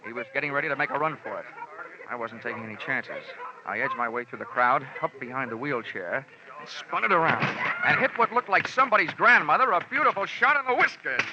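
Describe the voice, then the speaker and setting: An American actor playing a tough, wisecracking insurance investigator with a wry, snappy delivery in an old radio detective drama. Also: male voice, medium pitch, fast, noisy background, close to an everyday voice. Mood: wry narration. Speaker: lead character and narrator. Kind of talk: 1950s radio detective drama, hardboiled narration. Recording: dull vintage radio broadcast recording.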